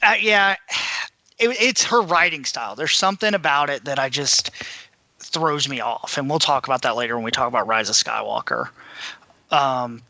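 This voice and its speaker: A man's voice: medium pitch, moderate pace, bright, forceful tone, no room sound. A man co-hosting a podcast, discussing books in a casual, conversational way. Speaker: podcast co-host.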